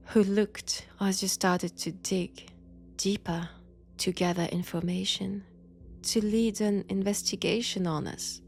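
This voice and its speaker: A woman playing a mad vampire in an intimate audio roleplay, with a French accent, seductive, menacing and mockingly tender, speaking in halting short phrases. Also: lowish voice, gravelly, a little mellow. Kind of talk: slow intimate roleplay monologue.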